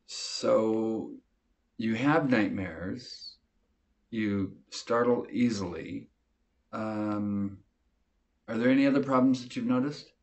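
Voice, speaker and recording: An actor playing a measured clinician, putting probing questions in a training-film interview. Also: male voice, fairly low pitch, darkish tone.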